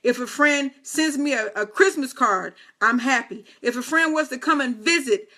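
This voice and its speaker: A woman's voice: sits high, moderate pace, pushed and bright. A woman in her fifties hosting a casual live video talk, speaking straight to viewers with playful, teasing asides. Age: 50s. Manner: playful, teasing asides and chatty direct address.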